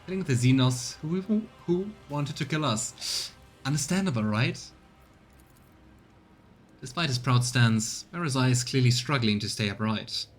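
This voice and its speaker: A man's voice: mid-range voice, expressive, measured pace, soft background music. A man giving casual let's play commentary and reading game text aloud. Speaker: streamer. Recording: clear background noise.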